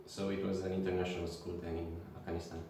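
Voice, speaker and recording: A young man from Romania hosting a casual podcast chat, halting and friendly with many fillers. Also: low-pitched, flat delivery, mellow, slight background noise. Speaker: podcast host. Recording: echoey room, far from mic.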